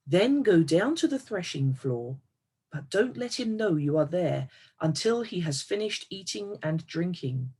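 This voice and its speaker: A woman reading a Bible story aloud as measured narrative, in short, deliberate phrases. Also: fairly low pitch, darkish tone, slightly rough. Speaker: scripture reader.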